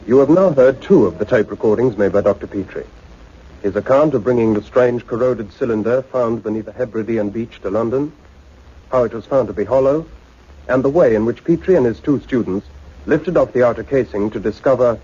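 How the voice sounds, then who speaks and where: An actor playing a sombre male narrator in a radio play, measured and formal as he presents recorded accounts. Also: medium pitch, dark tone, breathy edge, muffled recording, close to an everyday voice. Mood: grave, suspenseful. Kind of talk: scripted radio drama narration.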